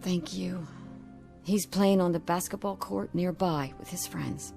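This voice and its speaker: A woman's voice: lowish voice, fairly even intonation, unhurried, creaky, music in the background. An actress playing a worried, exasperated wife and mother in a video game, talking in a weary, confiding way. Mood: anxious, subdued.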